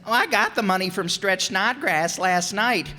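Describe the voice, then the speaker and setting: Woman playing eager schoolboy, lively, echoey hall. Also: mid-range voice, normal speed. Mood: eager, earnest. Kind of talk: 1940s radio comedy acting. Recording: very echoey hall stage recording.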